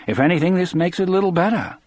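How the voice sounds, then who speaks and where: A man's voice: sits high, expressive, rapid-fire, darkish tone, dry recording, voice pushed up. A man voicing a caring, sensible middle-aged wife in an audiobook. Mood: reassuring. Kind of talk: audiobook character dialogue.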